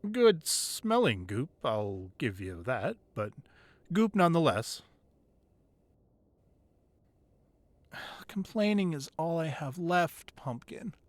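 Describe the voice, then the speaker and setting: Playful, lively gang-leader boyfriend, acted by a man. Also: fairly high pitch, no room sound. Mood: mock grumbling. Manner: playful mock-grumbling, soft asides, hesitant pauses. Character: tough male gang leader boyfriend, teasing and tender at home. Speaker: ASMR roleplay voice actor. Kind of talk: intimate ASMR boyfriend roleplay acting. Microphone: close microphone, dry.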